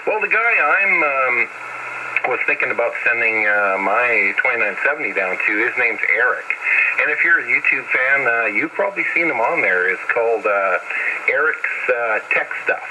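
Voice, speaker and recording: A man's voice: medium pitch, animated, normal speed. Over a two-way radio, a man chats casually in an easygoing, rambling, hesitant way. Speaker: CB radio operator. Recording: very noisy, static-laden CB radio transmission.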